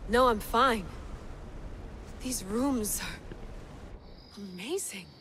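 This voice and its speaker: A woman's voice: on the high side, very slow, a bit bright, a little hiss or hum. An actress playing a curious modern-day female explorer in a video game, muttering thoughts to herself. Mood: musing. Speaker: game character.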